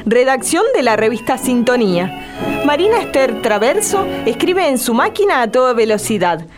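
High-pitched, animated woman narrator over music. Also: normal speed. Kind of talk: scripted radio documentary narration.

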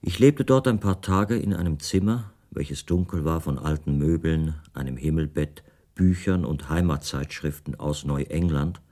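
Captive writer, low mellow voice, reflective, restrained, literary radio monologue. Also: male voice, unhurried, hardly any pauses. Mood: reflective, subdued. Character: captive male writer soberly recounting his own story, solitary and observant. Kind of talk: literary first-person radio play monologue.

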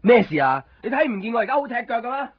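A man's voice: very high voice, big pitch swings, fast talker, full character voice. A man with a Hong Kong Cantonese accent playing a possessive, brooding copy-shop owner in a radio drama, speaking curtly. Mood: irritated. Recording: dull, lo-fi 1990s radio recording.

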